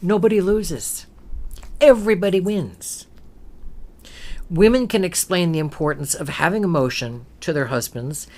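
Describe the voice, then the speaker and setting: Low-pitched female talk host, emphatic and preachy, fond of rhetorical questions. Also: measured pace, pauses often, a little mellow. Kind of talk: opinionated spiritual talk.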